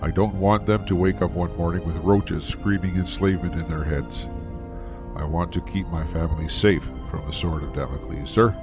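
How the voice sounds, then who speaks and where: Man playing a deep-voiced, earnest starship officer. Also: fairly even intonation, music in the background, natural voice. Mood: grave conviction. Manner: grave, deliberate. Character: earnest, loyal starship operations officer, protective father. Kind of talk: audio drama acting.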